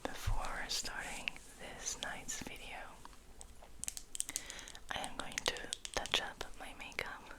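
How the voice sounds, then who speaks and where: A woman hosting an ASMR video in a breathy, intimate whisper, rambling casually and softly to the viewer. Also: slow, long pauses, low-quality recording.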